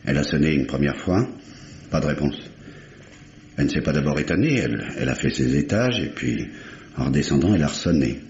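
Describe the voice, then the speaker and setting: A very low-voiced police subordinate in an old radio mystery. He reports facts in a dutiful, deferential manner, and the recording is muffled with plenty of room echo. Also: expressive, fairly quick, darkish tone, slight background noise. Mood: matter-of-fact. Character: dutiful, matter-of-fact male police subordinate. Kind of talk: mid-century French radio drama acting. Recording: dull, roomy vintage radio recording.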